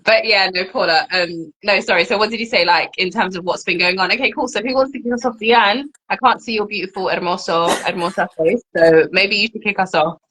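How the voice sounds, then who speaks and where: Young British woman, podcast co-host. Average pitch, relaxed conversational delivery, faint noise in the recording. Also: fairly quick, hardly any pauses, rough recording. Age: young adult. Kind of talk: casual chat.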